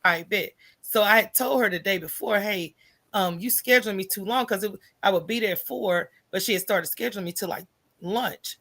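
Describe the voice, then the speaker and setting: American woman on a podcast, bright punchy voice, tells stories real candid and colloquial. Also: medium pitch, normal speed. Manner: colloquial, candid, often ends phrases with "right?". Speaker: podcast guest, entrepreneur. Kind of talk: animated conversational storytelling.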